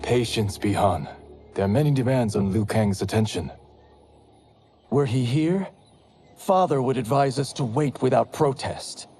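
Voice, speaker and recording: A man's voice: mid-range voice, lively intonation, measured pace, mellow, husky. An actor playing an earnest, dutiful young male warrior in a game cutscene. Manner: earnest, principled. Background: orchestral game music.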